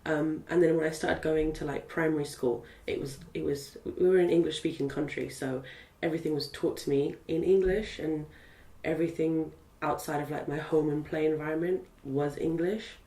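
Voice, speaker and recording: A woman's voice: sits low, steady tone, normal speed, hardly any pauses, warm tone. A young woman from Zimbabwe whose first language is Portuguese, chatting as an interviewee with lots of fillers. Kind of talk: casual interview. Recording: roomy sound from a distant mic.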